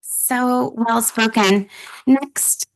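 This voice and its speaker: A woman's voice: on the high side, fairly even intonation, very slow, rough recording. A middle-aged woman presenting scholarship students at a formal meeting, speaking encouragingly and using frequent fillers.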